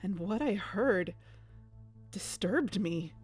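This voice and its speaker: An uneasy, watchful woman leads a scripted audio drama. Her voice sits at a middle pitch, with faint music behind it. She speaks as someone quietly investigating and on her guard. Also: expressive, slow pace, breathy edge. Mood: tense recounting. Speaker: narrator and lead character of an audio drama. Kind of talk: scripted first-person narration and dialogue.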